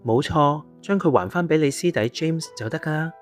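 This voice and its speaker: A man playing a calm, consoling angel in a radio drama, composed and pious, with a Hong Kong Cantonese accent. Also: on the high side, moderate pace, natural voice. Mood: reassuring. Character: calm, consoling male angel who calls people brother. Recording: guitar music behind the voice.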